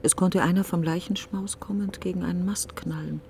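Mourning woman in a radio drama, hushed breathy creaky voice, slow broken phrases, scared n brooding. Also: lowish voice, fairly even intonation, a little hiss or hum. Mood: brooding grief. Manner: halting, fragmented, deliberate phrasing. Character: grieving, fearful, brooding woman mourning her best friend. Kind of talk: literary radio drama monologue.

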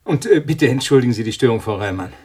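An actor playing an uneasy, irritable scientist in a German radio thriller, speaking in a hesitant, agitated way. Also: male voice, mid-range voice, animated, quick pace, a little mellow, slightly rough. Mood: hesitant, polite. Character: uneasy, irritable male scientist living alone with budgie.

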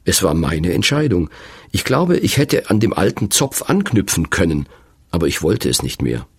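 A man in his fifties narrating a radio feature in a slow, musing, reflective way. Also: sits low, big pitch swings, husky, dry recording, clean studio sound. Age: 50s.

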